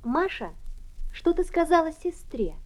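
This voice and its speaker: A woman with a high, animated voice reads textbook dialogues. She speaks in clear, careful model speech. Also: measured pace.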